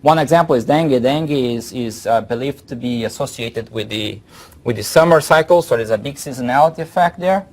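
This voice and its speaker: A man's voice: medium pitch, a little fast. A man from Brazil with a Brazilian Portuguese accent gives an informal academic presentation, often repeating words as he thinks. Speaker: guest speaker, professor. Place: lecture room.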